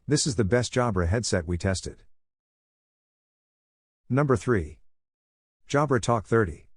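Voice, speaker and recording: A man's voice: fairly low pitch, a little mellow, dry recording, clean studio sound. A man reading a scripted product review as a narrator.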